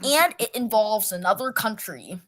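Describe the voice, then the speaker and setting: A woman's voice: medium pitch, expressive, normal speed, a bit bright. A woman guest chatting casually on a video call, teasing and quizzing the others.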